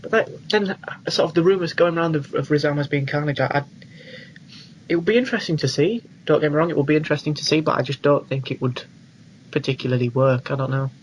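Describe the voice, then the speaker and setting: Young british lad chatting on a podcast, voice on the higher side, bit of noise behind him. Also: male voice, fast, lo-fi sound. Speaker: film podcast co-host. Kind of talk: casual chat.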